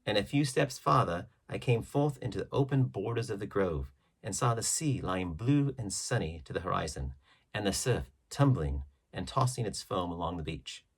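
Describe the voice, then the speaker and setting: A man reading a classic adventure novel aloud as expressive, measured audiobook narration with emphatic phrasing. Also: on the low side, breathy edge. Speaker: audiobook reader.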